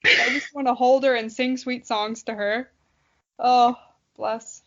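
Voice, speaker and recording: A woman co-hosting a podcast, chatting casually and telling anecdotes in an animated, joking, slangy way. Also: a little high, slow, lo-fi sound. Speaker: podcast co-host. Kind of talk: casual chat.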